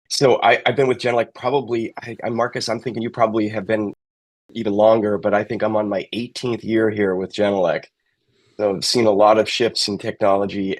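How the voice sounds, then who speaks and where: Low-pitched middle-aged man, conversational. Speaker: senior technical sales manager. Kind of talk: conversational interview answers.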